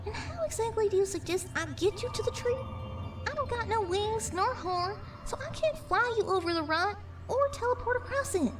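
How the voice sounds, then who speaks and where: A woman's voice: extremely high pitch, animated, normal speed, recorded from a distance. A woman playing a skeptical, plainspoken young pony with a folksy Southern US accent in an audio drama. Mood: defiant, doubtful. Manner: folksy, blunt, doubtful. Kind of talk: fan audio drama acting.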